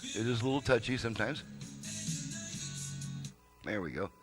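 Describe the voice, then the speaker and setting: A man's voice: on the low side, lively intonation, moderate pace, over music. A man giving a casual, folksy, step-by-step demonstration as a radio repair technician, explaining things off the cuff. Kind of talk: casual unscripted product demonstration. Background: radio audio playing behind the voice.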